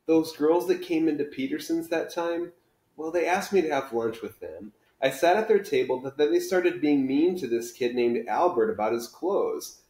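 A man's voice: fairly high pitch, animated, moderate pace, a little mellow, pitched above the performer's own voice, natural voice. A man reading a children's book aloud as an earnest, awkward young schoolgirl, with hesitant delivery. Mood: guilty, downcast. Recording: roomy living-room echo.

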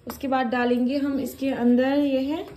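A woman's voice: sits high, flat delivery, moderate pace, warm tone. A woman in her thirties, a mother and home cooking vlogger, chatting step by step to viewers as she demonstrates a recipe in her small home kitchen, recorded on a phone held close. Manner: chatty, step-by-step explaining, addresses viewers as guys. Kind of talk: casual cooking demonstration to camera.

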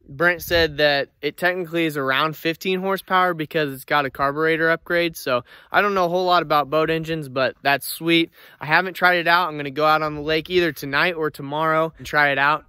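A young man rambles happily into his phone outdoors, excited and thankful as he shows off his gear. His voice is a touch high and bright, and the sound is dry and close. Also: smooth, no room sound. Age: young adult. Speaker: outdoors vlogger. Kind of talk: casual selfie vlog. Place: outdoors in open country, then in a truck. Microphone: phone at arm's length.

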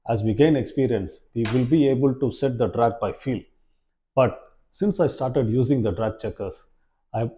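A man in his forties presenting an instructional fishing demonstration in a measured, step-by-step way, recorded indoors. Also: medium pitch, dark tone. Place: small plain room indoors, seated at a table.

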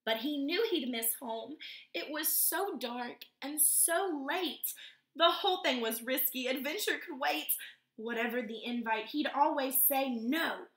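Young woman doing storytime, high bright voice, slow and echoey room. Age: young adult. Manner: animated, asks children questions between pages. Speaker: YMCA storytime reader. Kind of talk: reading a picture book aloud to children. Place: room in a house, indoors.

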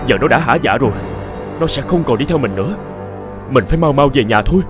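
Man acting a hurried, cunning survivor over loud music. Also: medium pitch, expressive, lightly acted. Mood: shaken relief. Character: cunning, frightened, beaten man who played dead. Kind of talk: animated folk tale acting.

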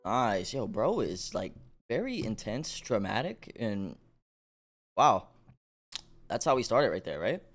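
A man's voice: fairly low pitch, big pitch swings, slow pace, pauses often. A man hosting a reaction video, rambling casually and addressing his viewers familiarly, with music around his voice. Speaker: reaction channel host.

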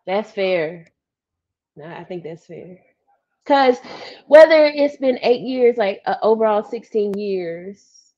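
A woman chatting casually in a book discussion, reasoning through her points out loud. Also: medium pitch, expressive, slow pace, lo-fi sound. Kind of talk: casual chat. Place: room at home, video call.

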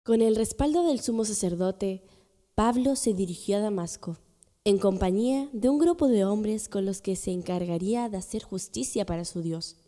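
A woman's voice: mid-range voice, normal speed, mellow. A woman narrating a scripted religious radio drama as a storyteller. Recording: long reverb, music underneath.

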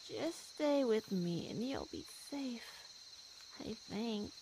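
A woman playing a languid, possessive feline monster girl in an ASMR roleplay, predatory yet affectionate, with drawn-out murmurs. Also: a little high, animated, creaky, noisy background, exaggerated voice. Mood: possessive, teasing. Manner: slow, drawn-out, purring murmurs and sighs.

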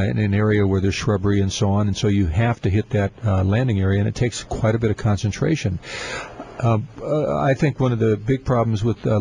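A man co-hosting a talk show, explaining things in a measured way with frequent fillers. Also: lowish voice, warm tone, slight background noise. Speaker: podcast co-host. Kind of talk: casual instructional chat.

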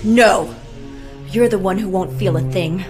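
Game heroine played by a woman: weary, hard-edged operative, medium pitch. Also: unhurried, music in the background, natural voice. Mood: defiant. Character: tough, weary young female secret agent. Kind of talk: video game cutscene acting.

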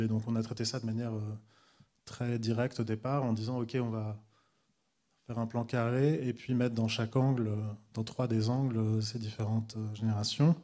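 A man in his thirties from Switzerland giving an architecture lecture in a large hall, talking haltingly with many fillers into a handheld microphone. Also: mid-range voice, a little mellow. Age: 30s. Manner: halting, restarts with frequent euh. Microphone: handheld microphone at a desk.

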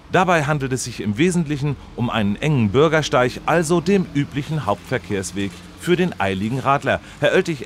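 An actor playing an earnest radio reporter in a mock news piece, deadpan, formal and precise. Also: male voice, mid-range voice, a little hiss or hum, lightly acted. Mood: earnest, inquiring. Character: earnest male radio reporter and chronicler, straight-faced, factual.

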